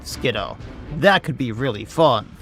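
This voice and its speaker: A man streaming a game, chatting casually, laughing often and reading chat aloud, his voice going hoarse. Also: medium pitch, lively intonation, a little slow, over music. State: losing his voice. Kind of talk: casual gameplay commentary.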